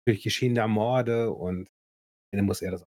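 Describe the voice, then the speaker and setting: A man with a fairly low voice chatting casually on a podcast. He talks in a laid-back way, pausing on fillers as he recounts things, and the recording is dry and close. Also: fairly even intonation, darkish tone, dry recording. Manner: laid-back, many short backchannels and hesitant fillers. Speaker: podcast co-host. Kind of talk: casual chat.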